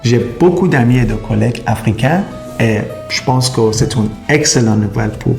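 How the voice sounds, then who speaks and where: Gravelly-voiced man. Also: mid-range voice, moderate pace, over music. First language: Persian and Turkish. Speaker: immigration consultant. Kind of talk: explainer video to camera.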